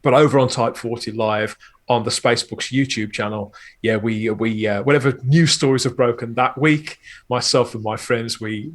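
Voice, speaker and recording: A man's voice: mid-range voice, steady tone, moderate pace. Chatty, enthusiastic man. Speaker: podcast host and producer, guest. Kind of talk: conversational interview talk.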